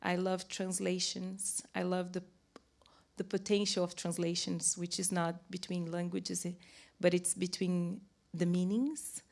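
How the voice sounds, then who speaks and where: A woman with a Brazilian accent, a teacher speaking on a live panel, playful and deliberate in how she talks. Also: on the low side, level tone, gravelly. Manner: warm, playful, slow and deliberate.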